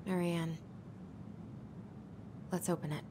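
A woman's voice: lowish voice, level tone, slow pace, background noise. An actress playing a guarded, reluctant young American woman worn down by grief in a video game. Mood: calm. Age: young adult. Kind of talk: video game cutscene acting. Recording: game dialogue with music behind.

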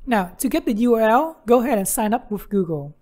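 A woman's voice: a little high, expressive, fairly quick, darkish tone. A woman hosting a how-to tutorial, narrating instructions step by step. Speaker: tutorial host.

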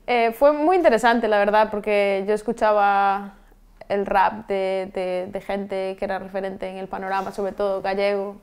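A woman, answering interview questions in a relaxed way with frequent restarts, recorded in a studio on a lapel mic. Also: mid-range voice, moderate pace. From Vigo, Galicia, Spain. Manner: relaxed, many restarts and trailing-off endings. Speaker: guest rapper and software engineer. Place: TV studio set.

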